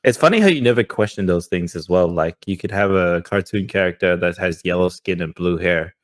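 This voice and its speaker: A man's voice: deep voice, fairly quick. A man co-hosting a podcast, chatting casually. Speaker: podcast co-host.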